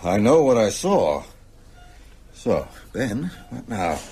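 An actor playing an ailing older teacher in a radio drama, very husky, precise, bookish and resolute. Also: male voice, fairly low pitch, very animated, takes it slowly, dark tone, exaggerated voice. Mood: wry resolute. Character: ailing older male teacher, scholarly, shaken but resolute.

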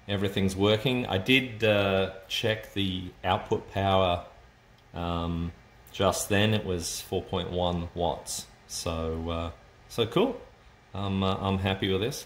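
A man giving an unscripted, hesitant, explanatory demonstration as a radio hobbyist, thinking aloud as he goes. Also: low voice. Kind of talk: unscripted casual tech demonstration. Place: indoors at a home desk with computers. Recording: roomy echo, noticeable background noise.

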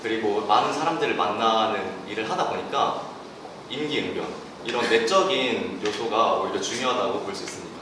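Low-voiced young man, echoey room. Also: a little fast. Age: young adult. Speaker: student ambassador. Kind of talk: casual panel Q&A. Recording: echoey room, distant mic, noisy.

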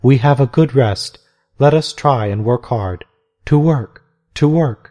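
A man's voice: medium pitch, lively intonation, moderate pace, darkish tone, pitched above the performer's own voice, natural voice. A man reading aloud, voicing a defiant elderly abbess who speaks with indignant scorn.

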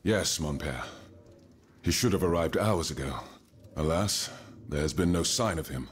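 A man's voice: very deep voice, moderate pace. A man playing a proud, earnest, wealthy colonial reformer in a period game drama, speaking formally and eloquently, with gravity. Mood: solemn, explanatory.

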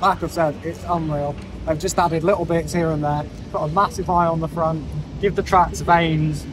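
A young man gushing excitedly in a casual vlog, tripping over his words, recorded on a handheld camera outdoors at a theme park. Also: a little high, normal speed, music in the background.

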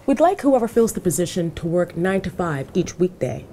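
A woman's voice: on the low side, big pitch swings, moderate pace, dark tone, a little hiss or hum. A middle-aged woman playing a poised, courteous bank manager who interviews a job applicant in an office, speaking politely and formally in a scripted dialogue. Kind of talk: scripted dialogue for language learners.

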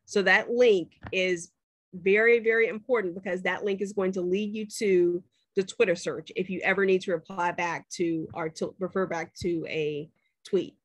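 A woman's voice: lowish voice, fairly even intonation, normal speed, no echo. Woman, professor, casual teaching talk, dry close sound. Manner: conversational, checks in with students. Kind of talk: screen-share class demo.

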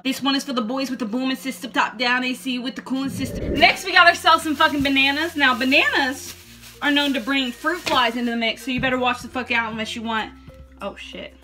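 Brash, swearing young woman in a kitchen. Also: a little high. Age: young adult. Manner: brash, profane, mock-instructional. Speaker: vlogger. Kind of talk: comedic cooking vlog. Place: home kitchen. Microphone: camera in front at table height. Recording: music playing loudly behind.

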